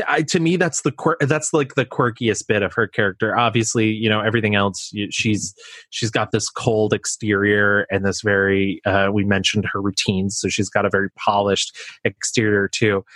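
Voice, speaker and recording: A man with a Midwestern American accent co-hosting a casual film podcast, talking in a halting, restarting way. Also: fairly low pitch, level tone, a bit bright, dry recording. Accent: generic Midwestern American. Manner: hesitant, lots of restarts, laughs. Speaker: podcast co-host.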